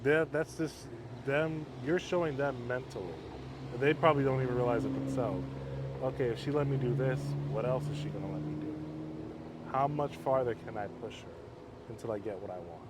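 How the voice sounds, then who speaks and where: Male counselor guest, measured and flat-toned, casual talk recorded outside near traffic. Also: medium pitch. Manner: calm, measured, self-described monotone. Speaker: interview guest, halfway home counselor. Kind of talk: casual conversation. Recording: outdoor street noise.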